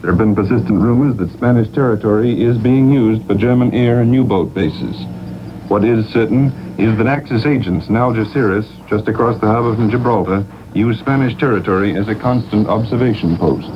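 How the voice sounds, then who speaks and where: A man's voice: fairly low pitch, brisk. A man giving a scripted news report as a wartime radio correspondent in the 1940s. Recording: very noisy muffled 1940s shortwave broadcast.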